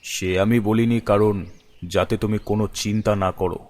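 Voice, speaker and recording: A man voicing a stern, uneasy middle-aged father in an animated ghost story, measured and reproachful. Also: lowish voice, in a large hall, lightly acted.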